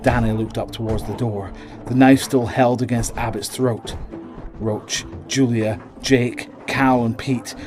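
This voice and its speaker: A man's voice: mid-range voice, steady tone, unhurried, a little mellow. Male drama narrator over music. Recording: dramatic music bed behind voice.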